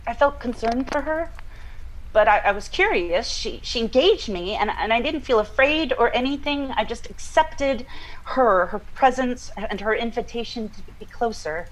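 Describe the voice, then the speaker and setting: An actress plays a woman with a fairly high voice, earnest and troubled, in a naturalistic audio drama. She recounts a strange dream haltingly, doubling back on her words as she searches for the right ones. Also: animated, lightly acted, low-quality recording. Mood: hesitant, absorbed.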